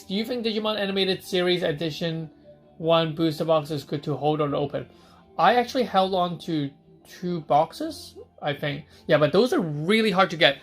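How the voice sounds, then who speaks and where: A man's voice: fairly high pitch, fairly bright tone. Chatty man hosting a live stream. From Hong Kong. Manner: chatty, reads out viewer questions and answers them on the spot. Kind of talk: casual live stream chat answering viewer questions.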